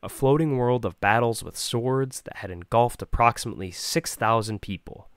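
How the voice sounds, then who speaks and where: A man's voice: medium pitch, normal speed, no room sound, studio quality. A man doing scripted narration and reading aloud as an amateur voice actor, with a self-deprecating manner. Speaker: amateur voice actor presenting a demo reel.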